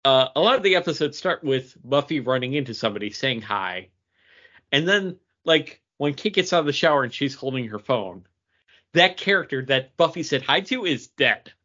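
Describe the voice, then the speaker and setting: A man's voice: medium pitch, lively intonation, moderate pace, bright, forceful tone, highs cut off. A man co-hosting a podcast, chatting casually and tossing in dry, joking asides. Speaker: podcast co-host. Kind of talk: casual bantering podcast chat.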